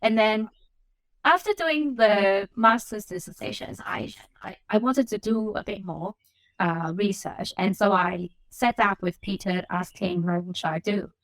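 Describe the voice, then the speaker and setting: A woman from Hong Kong talks as a podcast guest, thinking aloud and filling pauses with "like" and "um." Her recording is dry and close. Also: medium pitch, dry recording, rough recording. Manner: reflective, frequent "like" and "um" fillers. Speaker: assistant professor, podcast guest. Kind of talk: conversational interview answers.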